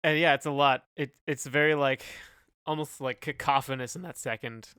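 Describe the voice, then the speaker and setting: Guy on a music podcast, smooth clear voice, dry sound, chatting casually, feels around for the right words. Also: mid-range voice, fairly even intonation, normal speed, bright, forceful tone, dry recording. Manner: hesitant, groping for descriptive words. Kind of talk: casual chat reviewing songs.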